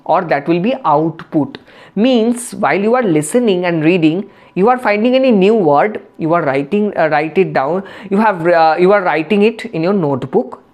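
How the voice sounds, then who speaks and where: A woman's voice: fairly low pitch, darkish tone. Indian woman teaching spoken English. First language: Bengali. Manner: explains with many restarts and "you know" fillers.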